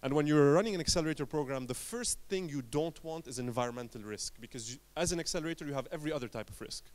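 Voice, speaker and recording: A man from Lebanon giving a conference talk as an entrepreneur, telling his story in short emphatic phrases with dramatic pauses. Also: a little high, a little mellow.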